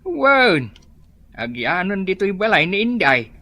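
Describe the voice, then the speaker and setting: Woman doing a gossipy ilocano dad, meddling elder, bright mid voice, radio comedy. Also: medium pitch, big pitch swings, slow, full character voice.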